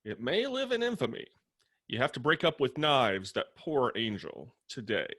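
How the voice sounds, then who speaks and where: Actor as sardonic roommate, theatrically animated man. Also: mid-range voice, normal speed. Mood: bossy. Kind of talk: table read of a comedy screenplay.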